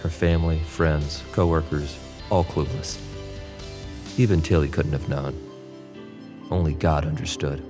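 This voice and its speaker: A man narrating an audio drama in a low, steady voice. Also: unhurried, long pauses, over music. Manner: low steady narration, pushier and louder in Nate's lines. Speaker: narrator and actor playing Nate.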